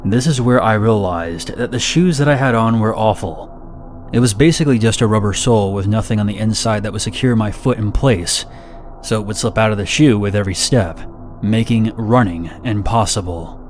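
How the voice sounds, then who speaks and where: A man reading first-person horror stories in a steady, measured, somber narration. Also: fairly low pitch, faint music behind.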